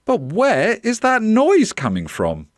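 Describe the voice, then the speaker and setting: A man voicing a practical, gently firm mother of a young boy in a children's story. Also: high voice, huge pitch swings, slow pace, no echo, studio quality, voice pushed up, full character voice. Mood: curious. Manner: firm, apologetic.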